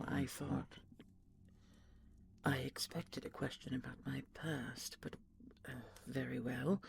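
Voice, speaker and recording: An ancient dragon, played by a man, speaks with heavy creak in a slow, halting, intimate murmur. The voice is secretive yet tender, in fantasy audio drama style, with faint noise behind it. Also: mid-range voice, a little mellow. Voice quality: gravelly, often whispery. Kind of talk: intimate audio roleplay acting.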